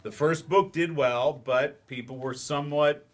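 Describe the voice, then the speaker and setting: An actor in a live play reading, playing a weary writer turned remedial teacher with a dry, hesitant manner. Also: male voice, a little high, measured pace, lightly acted. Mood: weary, defensive.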